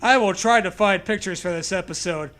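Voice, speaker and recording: A man's voice: high voice, brisk, fairly bright tone. A young man hosting a comedy podcast, reading bad fan fiction aloud in a casual, irreverent, jokey way. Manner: jokey, irreverent, laughs often.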